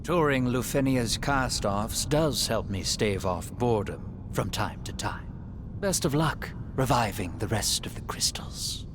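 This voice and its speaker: An actor playing a courtly, knowing monster in a video game, with measured formal phrasing and a breathy edge. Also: male voice, animated, close to an everyday voice. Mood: wry, detached. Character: enigmatic, amused male monster, courtly and knowing. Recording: rumbling noise behind voice.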